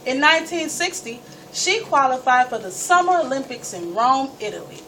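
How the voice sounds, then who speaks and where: A woman storyteller reading a first-person story aloud with dramatic, emphatic delivery and deliberate pauses. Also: high voice, animated, pushed and bright. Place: live event space, recorded from a distance. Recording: distant mic, noisy room ambience.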